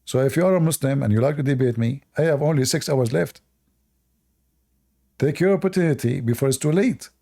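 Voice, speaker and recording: A man hosts a live-stream debate and talks straight to his chat. He is sarcastic and mocking, with a gravelly voice and a dark, low-lit tone at a middling pitch. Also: fairly quick. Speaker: livestream debate host.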